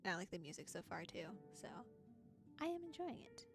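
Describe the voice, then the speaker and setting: A woman's voice: fairly low pitch, sing-song, fairly quick, vocal fry. A woman streamer chatting casually and playfully as she plays a visual novel, giggly and cutesy in her phrasing. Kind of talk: casual livestream chat while playing a visual novel. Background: music.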